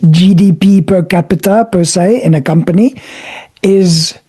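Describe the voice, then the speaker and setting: Husky, mellow-voiced man. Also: high-pitched, measured pace. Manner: frank, swears casually. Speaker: guest. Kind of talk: casual conversation.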